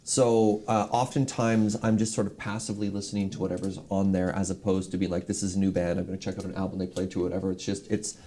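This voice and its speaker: A man's voice: deep voice, flat delivery, fast, few pauses, mellow. A man on a panel chatting casually, explaining himself in loose, hedging fragments. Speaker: panelist on a charity stream. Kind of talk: casual chat.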